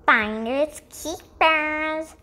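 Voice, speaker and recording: A woman's voice: high voice, extremely slow, pushed and bright, voice pushed up, full character voice. A woman voicing a perky, eager, playful little unicorn in a bouncy, exclaiming manner for a children's picture book.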